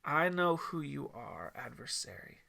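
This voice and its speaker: A man voicing a weakened, fasting holy man in an audiobook story, solemn and quietly certain, with a scratchy voice. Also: medium pitch, very animated, creaky, full character voice. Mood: calm, certain. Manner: solemn, declarative.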